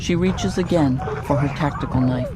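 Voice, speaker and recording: A narrator reading a scripted audio drama in a measured, descriptive storytelling manner. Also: medium pitch, poor audio.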